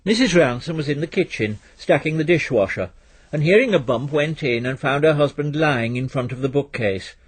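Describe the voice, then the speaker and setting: A man's voice: fairly high pitch, lively intonation, normal speed, slightly rough. A man reading a literary story aloud as scripted narration.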